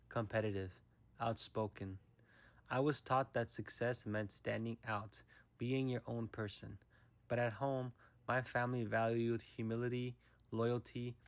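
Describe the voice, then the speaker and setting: A young man from the United States giving a reflective, measured narration for a personal documentary. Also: fairly low pitch, no room sound.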